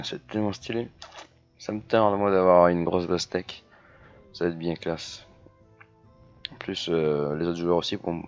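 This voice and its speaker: A hoarse man chatting casually as he plays a video game, full of fillers and restarts. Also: low voice, dull audio. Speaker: gaming YouTuber. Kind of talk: casual let's-play commentary.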